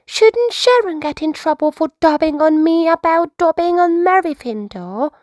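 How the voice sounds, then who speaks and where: A woman's voice: very high-pitched, lively intonation, normal speed, darkish tone, dry recording, clean studio sound, voice pushed up. A woman voicing a cheeky young boy pupil in a storybook, complaining and protesting like a tattletale. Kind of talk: children's storybook character voice.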